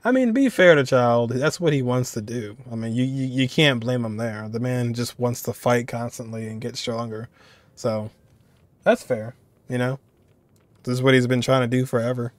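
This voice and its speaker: A young man hosts a casual game reaction, thinking aloud in an informal, musing way. His voice is mid-pitched and recorded dry, with no room sound. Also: moderate pace, no echo. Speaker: reaction video host.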